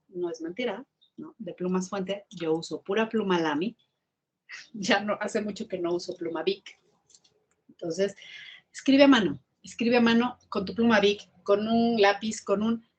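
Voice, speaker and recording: Breathy woman in her fifties, emphatic. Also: medium pitch. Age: 50s. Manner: lively, emphatic, repeats key words. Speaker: guest speaker on writing. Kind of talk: online class talk. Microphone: video call.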